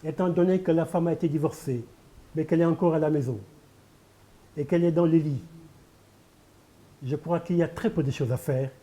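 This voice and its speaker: Dark-voiced older man, emphatic. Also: a little high, steady tone, fairly quick, pauses often. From Senegal. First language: Pulaar. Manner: emphatic, repeats key phrases, rhetorical. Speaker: poet and candidate guest. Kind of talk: televised interview.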